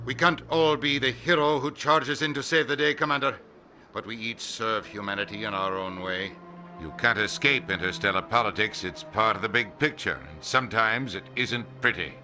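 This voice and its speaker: Forceful, haughty ambassador. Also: male voice, lowish voice, normal speed, soft background music. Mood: sardonic. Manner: sardonic, lecturing. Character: haughty, bitter male ambassador, career politician. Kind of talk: video game character acting.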